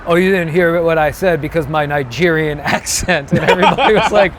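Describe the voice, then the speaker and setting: Male comic guest, slightly high voice, rambling profane anecdotes, street ambience with faint traffic. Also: fairly quick, fairly bright tone. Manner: rambling storyteller with swearing. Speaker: comedian guest. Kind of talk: casual street chat. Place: outdoors on a city street.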